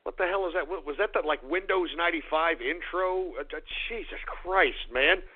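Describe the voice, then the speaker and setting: A man hosting a call-in radio show, ranting, shouting and swearing at callers while losing his voice. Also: a little high, moderate pace, breathy edge. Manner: shouting, profane, abrasive. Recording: phone-like narrow sound.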